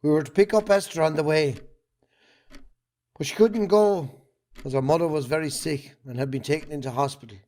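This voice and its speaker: A man with an Irish accent acting a defensive, guilt-ridden farming man in an amateur drama, speaking haltingly. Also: on the high side, darkish tone, lightly acted. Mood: halting, remorseful.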